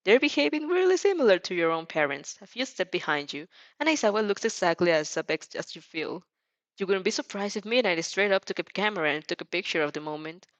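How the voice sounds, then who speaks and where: A woman reading fan fiction aloud in an expressive amateur style, giggly and stumbling over the text. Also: mid-range voice, a little fast, no echo, dull audio.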